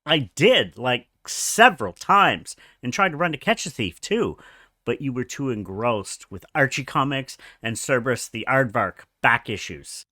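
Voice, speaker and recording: An actor playing a blunt, sarcastic, unimpressed shop worker in a comedy radio play. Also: male voice, medium pitch, sing-song, measured pace, bright, forceful tone, dry recording, clean studio sound, full character voice.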